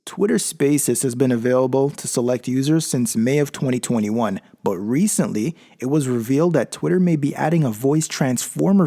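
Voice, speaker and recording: Guy doing scripted tech how-to voiceover, mid pitched, soft dark tone, bit of gravel. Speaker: tech channel host. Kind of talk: scripted tutorial narration.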